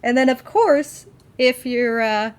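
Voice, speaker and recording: A woman's voice: high-pitched, slow, clean tone. A woman co-hosting a podcast, chatting casually and enthusiastically as a fan. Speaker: podcast co-host.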